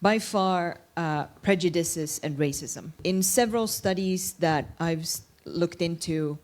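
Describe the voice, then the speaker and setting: Woman panelist, non-Finnish first language, low voice, measured, searching delivery. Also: darkish tone. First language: not Finnish. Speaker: panel candidate. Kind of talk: panel discussion answer.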